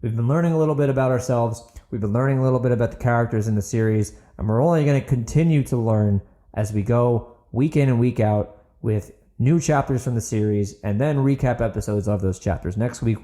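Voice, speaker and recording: A man's voice: medium pitch, warm tone. A man hosting a fiction podcast, reading his own novel dramatically and chatting to listeners with enthusiasm. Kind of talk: dramatic audiobook narration and casual podcast outro.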